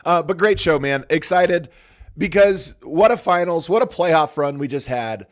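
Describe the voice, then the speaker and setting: A man's voice: a little high, lively intonation, a little slow. A man hosting a sports podcast in casual chat, his voice strained and going from coaching all weekend, asking questions with easy curiosity. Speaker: podcast host.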